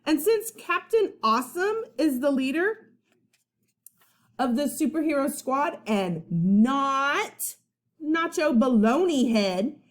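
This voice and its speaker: A woman's voice: high-pitched, animated, slow pace, voice pushed up. Woman putting on an angry, stubborn little boy. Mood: angry, indignant. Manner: indignant, emphatic, whiny growl. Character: angry, stubborn young superhero-playing boy, Eugene.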